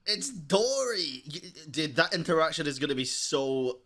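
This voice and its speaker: A man's voice: a little high, very animated, pushed and bright, dry recording. Young guy streamer reacting casually. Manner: slangy, sweary, drawn-out reactions. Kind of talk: casual game reaction commentary.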